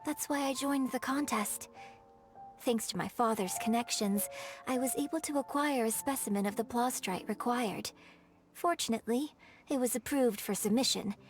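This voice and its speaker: A woman as a refined, higher-voiced young opera lady. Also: moderate pace, natural voice. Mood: gracious. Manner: polite, formal, articulate. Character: refined, courteous young female opera performer. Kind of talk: video game voice acting. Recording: clear background music under the voice.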